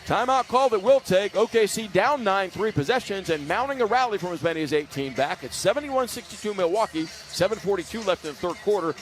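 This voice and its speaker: High-pitched male basketball radio announcer. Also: lively intonation, a little fast, few pauses, soft background music. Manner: vivid, clipped descriptive phrasing. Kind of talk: live radio play-by-play commentary. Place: sports arena broadcast.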